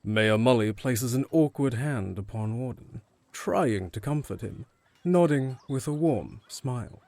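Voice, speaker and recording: A man's voice: medium pitch, expressive, unhurried, vocal fry, a little mellow, no echo. A man reading scripted narration for an audio drama.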